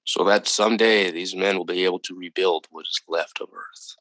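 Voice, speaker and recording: A calm, superior visitor from another planet in a radio drama. The voice is very low, with formal, measured, condescending delivery. The sound is close and dry. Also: male voice, no echo, poor audio. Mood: grave, superior. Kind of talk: old-time radio drama acting.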